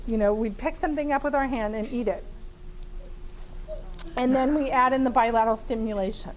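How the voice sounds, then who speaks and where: A woman therapist with a big voice leading a live training demonstration in a room with a small audience, chatty and lively, laughing often, with many fillers. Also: on the high side, a little fast, low-quality recording.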